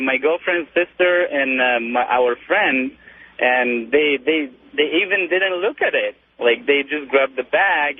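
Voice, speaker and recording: A man calling a radio show, telling a story casually in a rambling, hesitant way, eager to explain himself. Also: on the high side. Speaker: phone-in caller. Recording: tinny, noisy phone line on radio.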